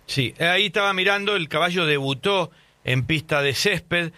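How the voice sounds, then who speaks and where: Bright, higher-voiced male interviewer. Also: moderate pace, dry recording. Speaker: interviewer, horse racing show host. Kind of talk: conversational interview.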